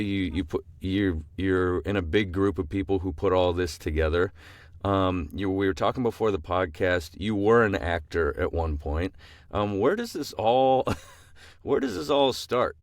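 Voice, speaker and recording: A man's voice: deep voice, no echo, clean studio sound. A man co-hosting a podcast who chats casually, playful and self-deprecating. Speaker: podcast co-host. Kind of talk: casual chat.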